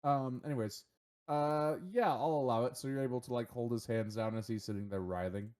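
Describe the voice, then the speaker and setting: A man acting as game master, narrating casually and haltingly as he thinks aloud. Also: mid-range voice, fairly even intonation, darkish tone.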